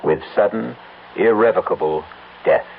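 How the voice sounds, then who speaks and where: A man's voice: on the low side, normal speed, vocal fry, heavy background noise. A man narrating and hosting a 1970s radio mystery drama in a theatrical, ominous way. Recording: tinny lo-fi old radio broadcast.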